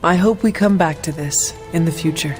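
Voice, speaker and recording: A man's voice: on the high side, moderate pace, faint music behind, natural voice. A man acting as an earnest, literal-minded young hero in a video game cutscene, with precise, formal speech.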